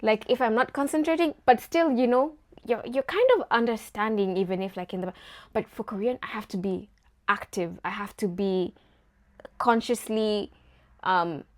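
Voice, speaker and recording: A woman's voice: medium pitch, normal speed. A young woman from Kenya, an engineering student, chatting casually as a guest and filling her talk with "like" and "you know". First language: not English; English one of her first languages. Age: young adult. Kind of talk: casual conversation.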